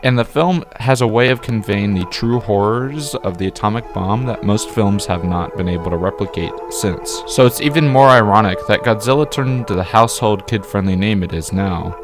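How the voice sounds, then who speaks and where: A man's voice: fairly low pitch, moderate pace, few pauses. A man narrating a scripted video essay review as the channel's host, with emphatic, wordy phrasing that leans on repeated words. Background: background music.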